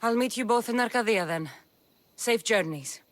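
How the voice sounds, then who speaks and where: A woman's voice: fairly high pitch, animated, moderate pace, slight background noise, close to an everyday voice. An actress playing a dry-witted female warrior mercenary who talks in a dry, sardonic way in a video game cutscene.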